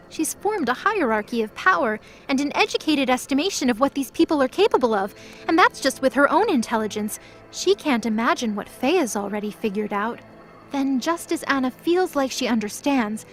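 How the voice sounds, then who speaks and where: A woman reading scripted present-tense narration for an audio drama. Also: on the high side.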